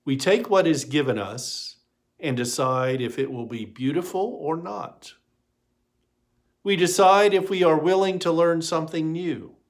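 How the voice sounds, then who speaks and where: Man playing a gentle, unhurried church minister. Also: fairly high pitch. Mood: gentle, earnest. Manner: gentle, questioning.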